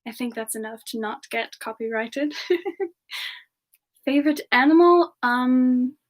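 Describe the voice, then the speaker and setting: A woman playing a playful, gentle female demon character, soft-spoken and giggly in a casual semi-ASMR live chat. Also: high voice, measured pace. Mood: playful, relaxed. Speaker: streamer answering chat and taking song requests.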